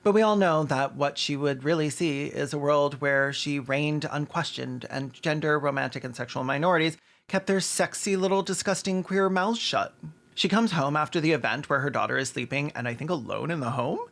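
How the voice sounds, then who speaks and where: A man's voice: fairly high pitch, moderate pace, gravelly, fairly bright tone. A man delivering scripted commentary in a sardonic way, with dry asides and crude humor. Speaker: video essayist and host.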